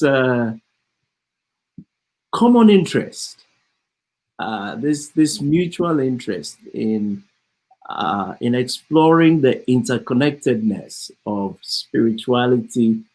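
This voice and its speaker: An older man with a Ghanaian accent, speaking in a measured, halting, reflective way as co-host of a conversation. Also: mid-range voice, rough recording. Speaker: co-host, theology professor. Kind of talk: reflective conversational self-introduction.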